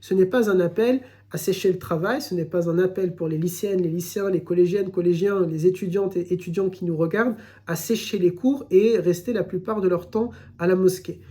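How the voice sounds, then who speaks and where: A man giving a recorded religious lesson, explaining in a measured way and often repeating his phrases. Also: high voice, dark tone. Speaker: Islamic teacher.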